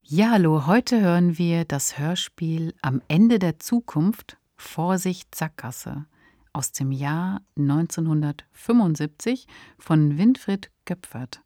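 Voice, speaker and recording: A woman introduces a podcast as co-host in a scripted, conversational way. Her voice is lowish and soft-toned, recorded very dry and close. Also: expressive, slow, no echo.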